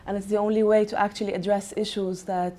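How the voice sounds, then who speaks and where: A woman's voice: medium pitch, level tone, quick pace, mellow. A woman, a playwright, speaking earnestly on a panel. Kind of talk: panel talk.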